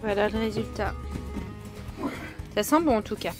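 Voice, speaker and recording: A woman's voice: medium pitch, normal speed. A woman in her thirties chatting cheerfully as she films a camper van trip, outdoors and inside the van, on a handheld action camera. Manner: chatty, cheerful running commentary. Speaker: vlogger. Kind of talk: casual travel vlog narration. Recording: music playing underneath.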